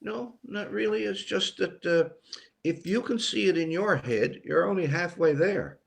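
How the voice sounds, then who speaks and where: Higher-pitched older man. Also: a little slow, gravelly. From Newfoundland, Canada. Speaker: author being interviewed. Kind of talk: conversational interview.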